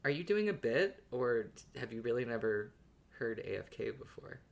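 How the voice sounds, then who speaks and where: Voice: medium pitch, sing-song, normal speed, gravelly. A podcast co-host chatting casually in a playful, joking manner.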